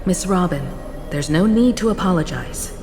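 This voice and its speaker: An actress playing a calm, composed mature woman who leads a crew in a video game, speaking in a measured, reassuring way. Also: fairly low pitch, lightly acted. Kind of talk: game cutscene voice acting. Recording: reverb with game music behind.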